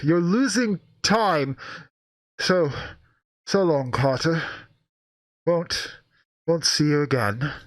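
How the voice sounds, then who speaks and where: A man voicing a terrified, despairing occultist who begs his friend to flee, in anguished, halting radio play melodrama. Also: on the high side, dry recording, studio quality, pitched above the performer's own voice. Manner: anguished, halting, drawn-out cries.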